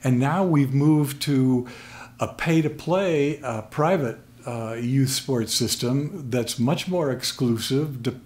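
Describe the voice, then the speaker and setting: An older man with an American accent, explaining things as an expert guest in an interview, in measured phrases with pauses. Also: medium pitch, darkish tone. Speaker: guest expert, sports sociologist. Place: small plain room at a table, indoors. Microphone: desk microphone on stand.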